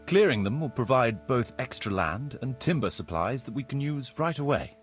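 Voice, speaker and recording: An actor playing a formal, courtly medieval land surveyor in a video game, speaking precisely and deferentially. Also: male voice, medium pitch, lively intonation, moderate pace, faint music behind, lightly acted. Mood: formal, assured. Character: formal, courtly medieval locator Marius, eager planner and surveyor. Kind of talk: video game cutscene acting.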